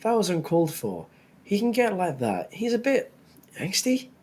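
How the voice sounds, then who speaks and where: Woman playing a gentle guy with lively pitch. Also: normal speed, husky, exaggerated voice. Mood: apologetic. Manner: casual, soft-hearted, conciliatory. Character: gentle, apologetic young male friend in a fantasy school.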